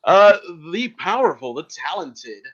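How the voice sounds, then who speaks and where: A man hosting a casual podcast in an informal, halting, promotional way. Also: high-pitched, very animated, lo-fi sound. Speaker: podcast host.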